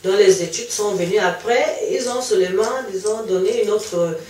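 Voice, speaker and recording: A woman's voice: mid-range voice, moderate pace, a little mellow, a little hiss or hum. A young woman whose first language is Italian, answering interview questions hesitantly with many fillers and restarts. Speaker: interview guest. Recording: echoey room, mic far off.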